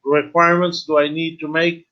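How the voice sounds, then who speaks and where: A man in his fifties talks casually as host of an online webinar. His voice sits a bit high for a man and his delivery is halting and informal. The sound is slightly dull, like a computer video call. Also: level tone. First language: not Spanish, though speaking it at times. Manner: halting, informal, switching between English and Spanish. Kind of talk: casual webinar talk, reading and answering viewer questions. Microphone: video call, computer microphone.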